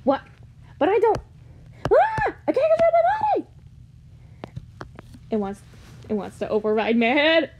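Woman voicing a naive, high-pitched robot. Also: wildly swooping pitch, unhurried, long pauses, a little mellow, lo-fi sound, a little hiss or hum, full character voice. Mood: panicked, pleading. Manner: earnest, pleading, hesitant. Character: naive, gentle, reluctant young robot fighter. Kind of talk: amateur comic dub.

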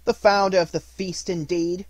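Mrs Cratchit, a shrill scornful mother, as a man puts her on. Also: level tone, normal speed, no echo, natural voice. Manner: bitter, scornful. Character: shrill indignant poor mother, sharp-tongued.

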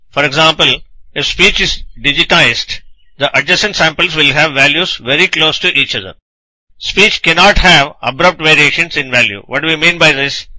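A man with a very bright, sharp voice pitched a little high delivers a technical lecture. He explains in a didactic, instructional way, setting out questions and answering them. The sound is dry and close. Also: no echo, rough recording. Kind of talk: scripted technical lecture narration.

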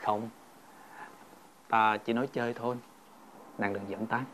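An actor playing a gentle, earnest husband in a folk-tale period drama, speaking solemnly in formal, old-fashioned address. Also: male voice, fairly low pitch, flat delivery, unhurried, a little hiss or hum. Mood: thoughtful, gentle. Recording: loud music over the dialogue.

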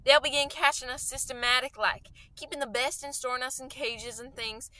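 A woman's voice: high-pitched, steady tone, fairly quick, sharp tone. A woman playing a fervent, scheming artilleryman survivor in a radio play, talking in an insistent, slangy, folksy way. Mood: urgent, knowing.

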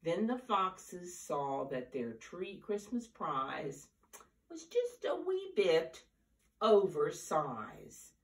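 An older woman in her seventies reading a picture book aloud to preschoolers in an animated, deliberate storyteller's manner, with drawn-out emphasis. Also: fairly low pitch. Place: living room, indoors. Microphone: camera a few feet away. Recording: roomy, distant camera microphone.

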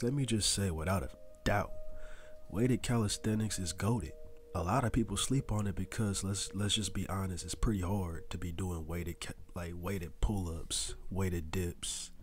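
Deep-voiced man giving casual fitness pep talk. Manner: casual slangy coaching, addresses viewers directly. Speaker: fitness vlogger. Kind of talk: motivational voice-over.

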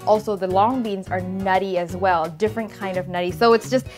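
A woman in her thirties from Thailand hosts a cooking show, chatting warmly to viewers as she pounds a salad in a home kitchen. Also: medium pitch, fairly even intonation, brisk, soft background music. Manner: chatty and upbeat, talks to viewers while pounding in a mortar. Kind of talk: cooking demonstration.

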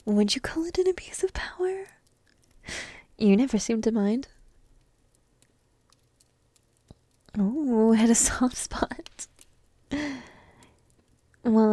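A woman voicing a petite, playful, secretly affectionate dragon princess in a soft, breathy ASMR roleplay, teasing slowly and often slipping into whispers close to the mic. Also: on the high side, no echo. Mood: teasing, secretive. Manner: slow, teasing, intimate delivery with pauses. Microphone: close microphone in a dry room.

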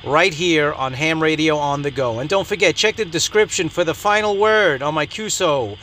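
Bright-voiced man hosting a hobby vlog. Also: fairly high pitch, normal speed, slight background noise. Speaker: amateur radio video host. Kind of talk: upbeat hobby vlog narration.

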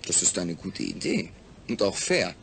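A man acting in a German audio drama as a calm, rational astronaut, speaking in a measured, explanatory way. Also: low voice, gravelly, slight background noise, heavily acted. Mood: reasoning, cooperative.

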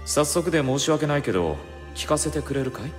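An actor playing a courteous, enigmatic male sorcerer in an anime game, speaking with calm, measured, formal politeness. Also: on the high side, lightly acted. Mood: courteous, composed. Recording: long reverb over background music.

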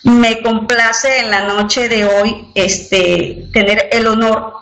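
A woman's voice: fairly high pitch, steady tone, takes it slowly, a bit bright. A woman presenting at an online company event, courteous and formal, with filler words. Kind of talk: webinar presentation. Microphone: computer mic on a web conference. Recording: roomy web conference audio, faint noise.

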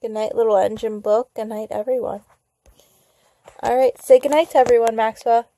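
A woman's voice: on the high side, steady tone, moderate pace, warm tone, rough recording. A woman chatting casually with a toddler, prompting with simple questions and coaxing as a parent does. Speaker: parent filming a child. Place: small home bedroom, indoors.

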